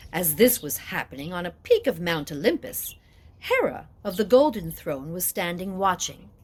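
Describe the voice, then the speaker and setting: A woman reading epic poetry aloud as dramatic narration, with deliberate, expressive phrasing. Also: fairly low pitch. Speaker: reader of an epic poem.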